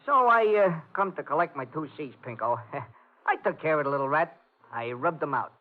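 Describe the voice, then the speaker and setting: A man's voice: on the high side, animated, moderate pace, lightly acted. An actor playing a nervous, stammering, hapless American man mixed up with gangsters in an old-time radio comedy. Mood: nervous bluffing. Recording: muffled old radio recording with hiss.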